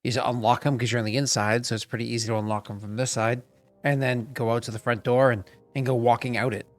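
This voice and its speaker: A man's voice: medium pitch, flat delivery, fast, soft background music. A man running a tabletop game as game master, rambling with many fillers and restarts on a home microphone.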